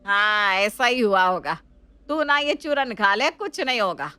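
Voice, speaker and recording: Chatty older mother-in-law, clear voice, acted by a woman. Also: mid-range voice, lively intonation, a little slow, pushed and bright. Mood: casual concern. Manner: homely, effusive.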